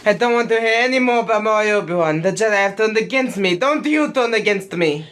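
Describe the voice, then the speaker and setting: A woman voicing a brooding, power-hungry young male dark Jedi in a parody audio play, intense and self-righteous. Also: mid-range voice, animated, brisk, close to an everyday voice. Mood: angry, betrayed.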